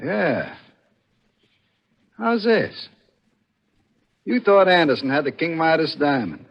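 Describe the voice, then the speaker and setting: Tough, taunting male investigator, vintage radio thriller: sneering, clipped, very animated, muffled period sound. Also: on the high side, slow pace, vocal fry, heavily acted. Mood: taunting, accusing. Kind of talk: 1940s radio thriller acting. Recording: dull vintage 1940s radio recording.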